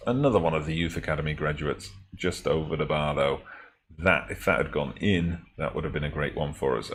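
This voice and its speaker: Very deep-voiced man, slightly raspy after flu. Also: a bit bright, slight background noise. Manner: chatty, self-correcting, wry asides. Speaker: gaming video host. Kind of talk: casual game commentary.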